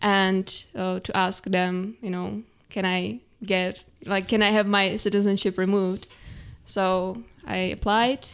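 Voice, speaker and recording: Hesitant young woman, smooth voice. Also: medium pitch, level tone, takes it slowly, few pauses. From Ukraine. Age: 20s. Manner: hesitant, many fillers, laughs often. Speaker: podcast guest. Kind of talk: casual interview chat.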